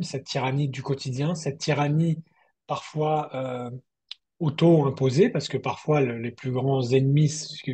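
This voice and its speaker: A male novelist from southern France in a reflective online interview, speaking thoughtfully and haltingly, often restarting his words. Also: medium pitch, a little mellow, husky. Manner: thoughtful, halting delivery with repeated word starts. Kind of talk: reflective online literary conversation. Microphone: online video call.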